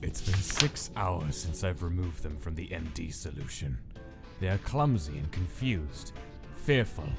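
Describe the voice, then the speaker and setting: A man voicing a cold, clinical male scientist who dictates experiment logs in a detached, measured way. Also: deep voice, expressive, gravelly, over music, heavily acted. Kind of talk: fan comic dub narration.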